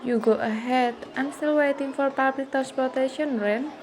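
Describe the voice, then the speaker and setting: A woman's voice: sits high, normal speed, lightly acted. Woman as polite schoolgirl, noisy crowd background. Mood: modest. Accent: Indonesian. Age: young adult. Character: polite, humble poor schoolgirl. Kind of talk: amateur student radio drama.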